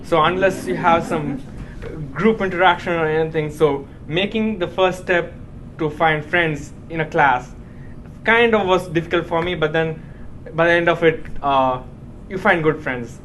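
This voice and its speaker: A man from India answering questions as a student on a panel, in a measured way that repeats phrases for emphasis. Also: a little high, fairly bright tone, slight background noise.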